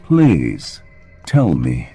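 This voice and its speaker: A man playing an undead father in an audio drama, mockingly tender and menacing, with a slow, taunting, gravelly delivery. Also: a bass voice, huge pitch swings, dark tone, soft background music, full character voice.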